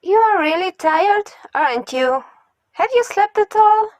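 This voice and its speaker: A woman voicing an excitable, caring young boy, an eager and bubbly little brother in an amateur comic dub. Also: extremely high pitch, measured pace, no echo. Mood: concerned.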